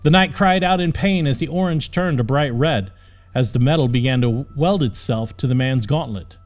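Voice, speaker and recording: A man's voice: mid-range voice, moderate pace. A man reading a fantasy story as an audiobook narrator, with dramatic scripted storytelling. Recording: music under the voice. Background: background music.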